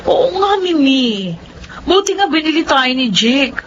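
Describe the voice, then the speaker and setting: A woman's voice: high-pitched, big pitch swings, unhurried. A woman playing a pushy, bubbly, shameless friend in a Filipino radio drama, with a Filipino accent. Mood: breezy, insistent. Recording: dull, noisy lo-fi radio recording.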